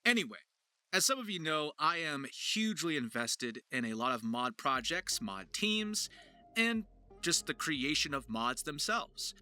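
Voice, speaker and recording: A man's voice: on the high side, big pitch swings, measured pace, bright, forceful tone. A man who works as a voice actor, narrating a scripted video in an upbeat, emphatic, enthusiastic way.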